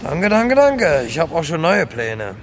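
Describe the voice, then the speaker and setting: A man's voice: a little high, big pitch swings, measured pace. An actor playing a hapless, guileless homeless man and petty thief in a naturalistic radio drama, talking in a plain, naive way. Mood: proud, pleased. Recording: noisy outdoor street with traffic.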